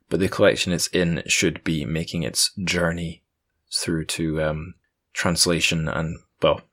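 A man hosting a podcast in a casual, chatty way with wry asides. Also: low voice. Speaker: podcast host.

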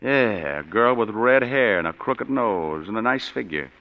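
An actor playing a hard-boiled private detective with dry, sardonic wisecracks, in 1940s radio drama. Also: male voice, on the low side, expressive, a little slow. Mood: wry, tough. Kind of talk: 1940s radio drama with first-person narration. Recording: muffled 1940s radio broadcast with long reverb.

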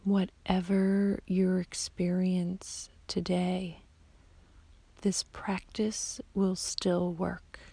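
A woman guiding a sleep meditation as a yoga nidra teacher, in a soft, whispery, soothing voice with long, unhurried pauses. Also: lowish voice, little pitch movement, vocal fry, husky, no room sound. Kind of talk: slow guided sleep meditation.

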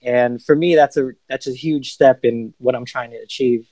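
A man, a music producer, talking as a podcast guest in relaxed casual answers, with many ums. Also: mid-range voice, moderate pace, no echo.

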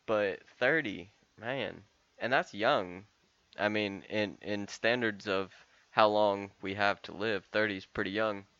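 A man's voice: lowish voice, a little slow, pushed and bright, dry recording, highs cut off. A man of about thirty talking casually and candidly in a rambling solo podcast monologue, often restarting and swearing. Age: 30s. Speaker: podcast host.

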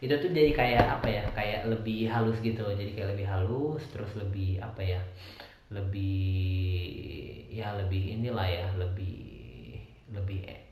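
A young man from Medan with a softened Medan accent, hosting a solo podcast monologue in a rambling way with many fillers. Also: lowish voice, measured pace, a little hiss or hum. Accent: Medan Indonesian, softened. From Medan, North Sumatra. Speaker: podcast host. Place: indoors at home, late night. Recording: strongly echoey room.

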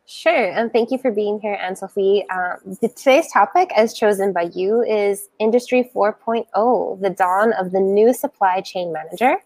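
A woman co-hosting a live web show over a video call, reading out prepared questions in a measured way. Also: mid-range voice, a little mellow, dry recording. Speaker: co-host.

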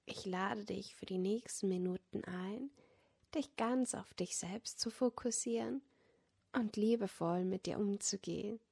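A woman leading a guided yoga nidra relaxation as a meditation guide, speaking in a gentle, soothing manner that often drops close to a whisper. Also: mid-range voice, unhurried, vocal fry, breathy edge. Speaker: meditation guide and podcast host.

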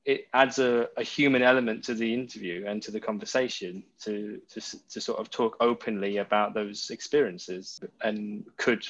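Low-key male interviewer, slightly muffled sound. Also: steady tone, normal speed, hardly any pauses, a bit bright. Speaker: podcast interviewer. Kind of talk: reflective, conversational interview.

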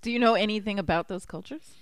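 A woman from New Jersey with an American accent speaks at a medium pitch in a very dry space. She chats informally, feeling her way through sentences in a hesitant, giggly manner. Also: fast, no room sound. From New Jersey, United States. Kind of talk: casual chat.

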